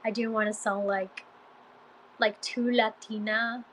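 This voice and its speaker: A woman with a mild Guatemalan Spanish accent talks slowly into her phone, chatting with live-stream viewers. She hesitates often, restarting sentences and filling pauses. A faint ambient hum sits behind her voice. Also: fairly high pitch, level tone, a bit bright, rough recording. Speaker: Spanish teacher livestreaming. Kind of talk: casual live-stream chat answering viewers.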